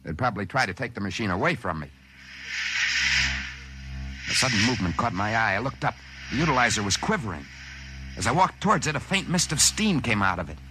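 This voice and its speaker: An actor playing an excitable ordinary man in a 1950s science fiction radio drama, narrating in a theatrical, wry way. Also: lowish voice, a little fast, pauses often, low-quality recording. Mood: panicked. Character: excitable ordinary man narrating his sudden good fortune. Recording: old radio show with music behind.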